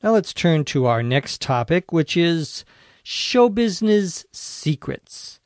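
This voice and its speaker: Slow, deliberate man teaching English. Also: on the high side, very animated, no room sound. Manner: slow and deliberate, spells out words letter by letter, dry jokes. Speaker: English teacher and podcast host. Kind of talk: slow, clear ESL podcast explanation.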